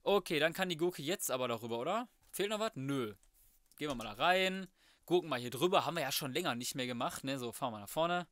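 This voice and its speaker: A man's voice: fairly high pitch, lively intonation, fast, a bit bright, no room sound, clean studio sound. A young man commenting casually on his gameplay, thinking aloud in slangy, colloquial speech. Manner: colloquial, slangy, muttering to himself. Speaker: let's player.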